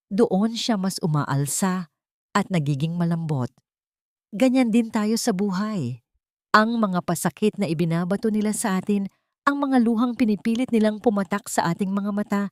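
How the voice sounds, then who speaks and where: A woman narrator voicing a gentle, wise older mother-in-law who speaks earnestly and firmly in a story. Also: medium pitch, no room sound, clean studio sound, close to an everyday voice.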